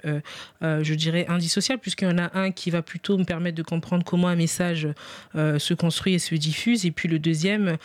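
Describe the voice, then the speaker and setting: A man's voice: sits high, no room sound. A man answering interview questions as a podcast guest, reflective and formal, hesitating and restarting as he searches for careful wording. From family origin Republic of the Congo. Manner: hesitant, formal, searching for words with repeated starts. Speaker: podcast guest, parliamentary adviser.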